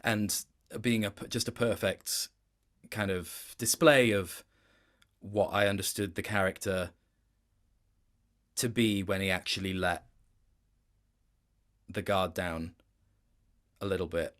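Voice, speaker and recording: Low-voiced man, hesitant and reflective. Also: steady tone, slow, pauses often, a bit bright. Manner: hesitant, reflective, thinking aloud. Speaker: guest, game voice actor. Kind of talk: casual interview.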